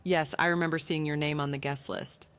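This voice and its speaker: Female game role: courteous elf hostess acted by a woman, deep for a woman, very smooth. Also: fairly even intonation, a little fast, a bit bright. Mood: polite, formal. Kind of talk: video game character dialogue.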